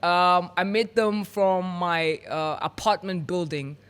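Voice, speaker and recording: A man's voice: high voice, fairly even intonation, slow pace, fairly bright tone, clear, resonant tone. A man hosting a podcast, playful and hyped, often repeating his words. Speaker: podcast host.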